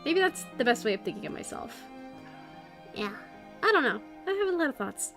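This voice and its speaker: Woman, streamer, high bright voice, rambling casual chat, hoarse throat. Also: sing-song, fairly quick, soft background music. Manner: rambling, self-interrupting, many restarts. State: hoarse, losing her voice.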